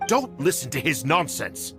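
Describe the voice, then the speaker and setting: A man's voice: fairly high pitch, sing-song, normal speed, fairly bright tone, faint music behind. A man playing a scheming, evasive aging clan noble in a video game, with an obsequious, blustering manner. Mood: indignant, blustering. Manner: obsequious, blustering, stammering under pressure.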